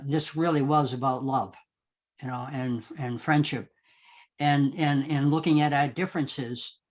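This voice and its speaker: Man, medium pitch, effusive, halting, heartfelt panel remarks. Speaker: roundtable panelist.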